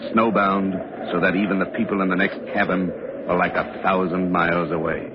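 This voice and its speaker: An actor playing a grave, weary pioneer man in an old radio drama, speaking in a sombre, measured way. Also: low voice, little pitch movement, natural voice. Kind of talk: 1940s-50s radio drama acting and narration. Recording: muffled, very noisy old radio recording.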